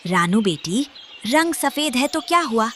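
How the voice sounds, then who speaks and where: A woman's voice: a little high, sing-song, a little slow, fairly bright tone, a little hiss or hum. Woman as a shrewd old granny bird. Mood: suspicious, determined. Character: shrewd, experienced old grandmother bird, bossy and fierce. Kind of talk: children's cartoon acting.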